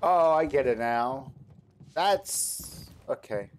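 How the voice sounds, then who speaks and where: A man's voice: mid-range voice, animated, slow, a little hiss or hum. A man streaming a video game with casual, chatty commentary, repeating himself and talking back to the game. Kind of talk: casual let's play commentary. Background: video game audio.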